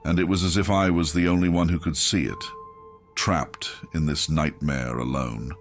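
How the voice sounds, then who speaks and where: A man's voice: extremely low pitch, fairly even intonation, moderate pace, soft background music. A man narrating a grimdark horror audiobook in a grave, brooding, dramatic first-person manner.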